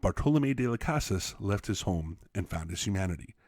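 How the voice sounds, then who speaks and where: A man reading an essay aloud as a teacher, expressively, with emphatic, deliberate phrasing. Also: low voice, expressive, a little mellow, no echo, studio quality. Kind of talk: expressive read-aloud narration for students.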